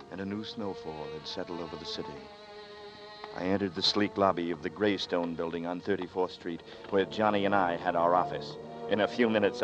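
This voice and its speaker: A man's voice: deep voice, natural voice. An actor playing a troubled man who narrates his own story in a tense, brooding way, in a 1940s radio drama. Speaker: narrator and lead. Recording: lo-fi 1940s radio broadcast with music.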